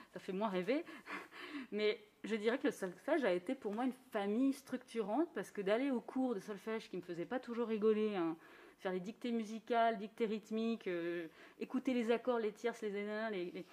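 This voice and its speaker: A woman musician speaking in a thoughtful, earnest way during a radio conversation, sometimes laughing softly. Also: mid-range voice. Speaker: musician guest. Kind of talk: reflective conversation.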